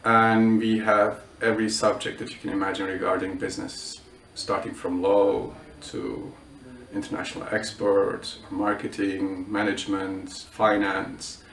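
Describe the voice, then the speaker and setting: A man's voice: fairly low pitch, little pitch movement, a little slow, a bit bright, slight background noise. A student in his twenties from Bulgaria, answering interview questions with many hesitations and restarts. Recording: roomy echo, mic at a distance.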